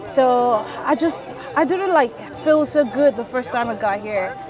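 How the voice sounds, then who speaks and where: A woman from Cameroon answering casually in an interview, pausing and hesitating as she goes. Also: on the high side, expressive. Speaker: interviewee, kindergarten English teacher. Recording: distant mic with music and crowd. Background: music and urban crowd.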